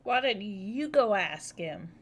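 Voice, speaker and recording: A woman reacts casually while streaming a game. Her delivery is lively and unhurried, swinging widely in pitch, with faint music behind. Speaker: livestreamer playing a game. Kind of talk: casual live reaction commentary.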